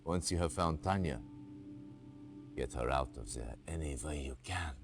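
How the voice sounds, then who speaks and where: An actor playing a stern male military officer giving a mission briefing in a game, crisp and authoritative. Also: extremely low pitch, normal speed. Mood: commanding.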